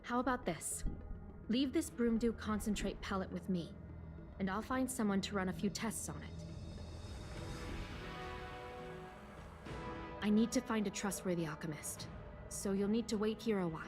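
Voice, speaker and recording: A woman's voice: medium pitch, fairly quick, over music, natural voice. An actress voicing a composed, shrewd woman official in a video game, speaking formally and articulately. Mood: composed, attentive. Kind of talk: video game character dialogue.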